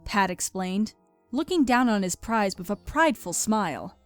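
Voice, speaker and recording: A woman's voice: mid-range voice, animated, moderate pace, faint music behind. A woman reading scripted story narration.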